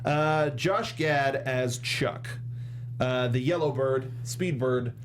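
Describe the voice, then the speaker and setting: A young man co-hosting a casual film discussion, talking in a halting, rambling way and rephrasing as he goes, close on a studio mic. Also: medium pitch, slight background noise. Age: young adult. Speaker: co-host of a film discussion show. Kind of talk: casual review chat. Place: small indoor studio. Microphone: close studio desk microphone with foam cover, headphones.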